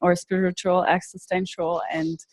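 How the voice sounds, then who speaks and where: A woman's voice: lowish voice, flat delivery, normal speed, a little mellow, a little hiss or hum. Woman trainer groping for words. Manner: searching for words, many fillers. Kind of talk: classroom discussion.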